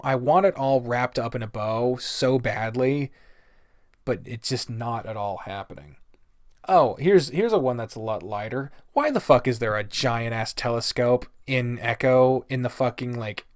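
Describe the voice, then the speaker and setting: A man's voice: medium pitch, no room sound. Blunt, sweary man ranting, dry close sound. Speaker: video host. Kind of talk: casual opinionated review rant.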